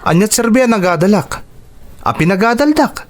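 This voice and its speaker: A man's voice: high-pitched, expressive, moderate pace. A man with a Filipino accent acting a proud, embittered doctor arguing heatedly as a grown son in a radio drama. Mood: indignant. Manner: heated, argumentative.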